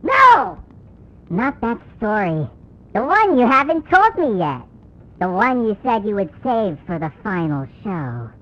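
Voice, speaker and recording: A woman's voice: mid-range voice, big pitch swings, measured pace, fairly bright tone, lo-fi sound. A woman playing a cheerful, playful and bossy children's show host in an analog horror cartoon, with a sing-song, exaggerated delivery. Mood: bright, insistent.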